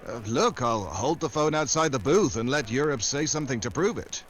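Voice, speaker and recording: An actor playing a glib, flirtatious American secret agent in a 1940s-style radio drama, smooth and wisecracking. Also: male voice, medium pitch, lively intonation, brisk, background noise, natural voice. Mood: flirty, evasive.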